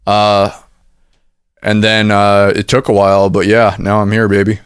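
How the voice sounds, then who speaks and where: Chatty low-voiced young musician. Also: male voice, monotone, a little slow, clear, resonant tone, no echo, studio quality. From Washington State, USA. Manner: chatty storyteller, laughs while talking. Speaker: band member, bassist. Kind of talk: casual interview chat.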